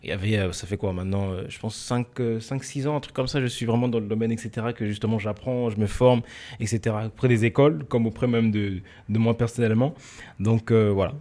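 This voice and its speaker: A man's voice: on the low side, quick pace, dark tone. A twenty-year-old man co-hosting a podcast in a studio, chatting loosely with trailing phrases. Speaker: podcast co-host, freelance graphic designer. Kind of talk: casual chat.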